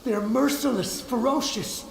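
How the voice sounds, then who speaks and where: An actor playing a desperate, devoted ghost of a soldier in a video game, urgent, halting and pleading. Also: male voice, very high voice, vocal fry, echoey room, slight background noise, full character voice, low-quality recording. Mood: frightened warning. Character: desperate, devoted male soldier ghost, still defending his homeland. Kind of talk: dramatic video game acting.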